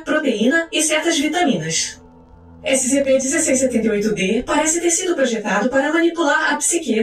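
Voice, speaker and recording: A woman narrator reading scripted case files in a formal, clinical, measured manner. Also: sits high. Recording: roomy echo with music underneath. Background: music.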